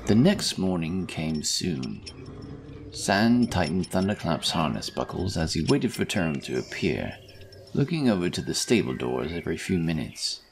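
A man's voice: sits low, very animated, a little slow, creaky, background noise. A man reading scripted fantasy narration as the storyteller.

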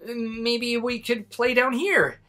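A man voicing a timid, bookish young boy mouse with a squeaky, hesitant delivery in a children's storybook. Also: fairly even intonation, takes it slowly, sharp tone, soft background music, full character voice. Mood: nervous. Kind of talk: children's storybook read-aloud.

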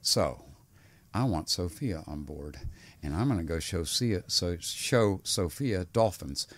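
A man's voice: low voice, animated, slow pace. An older man talking as a podcast guest in a rambling, earnest way, often restarting his sentences. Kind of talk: casual conversation.

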